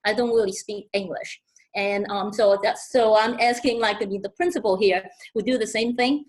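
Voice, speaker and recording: A middle-aged woman from Hong Kong with a Hong Kong accent, speaking on a webinar panel, halting with many fillers and repeated words. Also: mid-range voice, poor audio.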